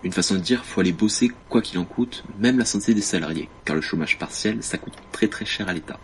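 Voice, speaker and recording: Man, low voice, measured critical radio editorial, faint hiss behind. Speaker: political editorialist.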